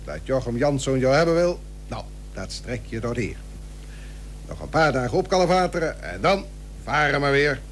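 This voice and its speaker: An actor playing a gruff but approving older ship's captain in a period radio drama, talking in a plain, colloquial way. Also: male voice, medium pitch, very animated, normal speed, natural voice. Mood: gruffly approving. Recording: noisy old radio recording with hum.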